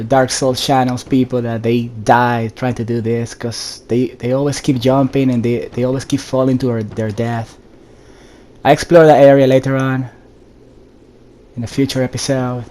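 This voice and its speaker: A man's voice: medium pitch, little pitch movement, moderate pace, warm tone. A man giving casual solo gameplay commentary, addressing viewers directly with instructions as he plays. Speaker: gaming video host.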